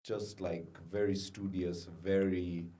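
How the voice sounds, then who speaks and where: A man's voice: low voice, level tone, slow pace, dark tone. A young Kenyan man co-hosting a comedy podcast in casual banter, talking himself up in jest and repeating phrases for effect.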